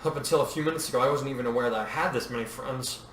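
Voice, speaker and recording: A man's voice: medium pitch, takes it slowly, a bit bright. A man voicing a groggy, wry adult woman in a story, dry and weary. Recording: roomy echo, distant mic, faint noise.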